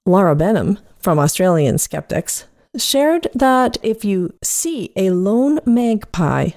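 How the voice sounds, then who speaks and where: A woman's voice: mid-range voice, sing-song, slow pace, darkish tone, no echo, studio quality. A woman presenting a podcast segment as scripted storytelling.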